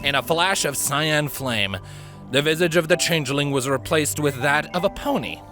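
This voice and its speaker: A man's voice: on the high side, normal speed, soft background music. A man reading a fan fiction story aloud as a scripted narrator.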